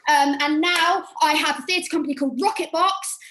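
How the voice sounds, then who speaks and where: A woman's voice: high voice, normal speed, a bit bright. A young woman from England, chatty and self-deprecating as she takes part in an acting workshop over a video call. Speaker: workshop participant. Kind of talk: casual chat.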